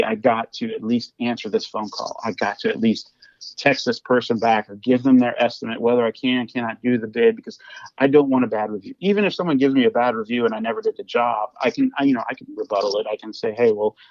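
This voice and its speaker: A man's voice: mid-range voice, expressive, fast talker, darkish tone, no room sound. Rambling Midwestern man, dull lo-fi sound. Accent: Midwestern American. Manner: rambling, with frequent restarts and repeated words. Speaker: guest, flooring business owner. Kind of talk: casual podcast conversation. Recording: dull lo-fi audio.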